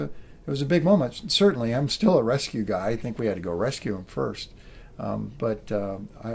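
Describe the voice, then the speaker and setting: Gravelly middle-aged American man telling stories. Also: mid-range voice, a little mellow. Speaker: military officer and helicopter pilot giving a talk. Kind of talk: anecdotal public talk to a room.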